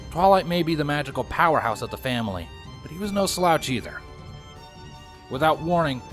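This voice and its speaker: A man's voice: medium pitch, a bit bright. A man reading fan fiction as scripted audiobook narration, in a dramatic storytelling manner.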